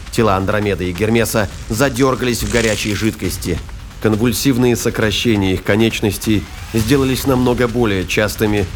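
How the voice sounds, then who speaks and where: A man reading scripted fiction narration in a measured, literary storytelling manner. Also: lowish voice, a little hiss or hum.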